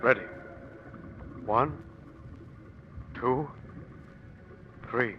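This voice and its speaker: An anxious, earnest man of the sea in a vintage radio thriller. His voice is mid-pitched and theatrical, full of apprehension, under clear recording noise. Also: very slow, dull audio. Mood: fearful. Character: nervous brave ship's man facing death. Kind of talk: old-time radio drama acting. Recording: noisy old radio recording.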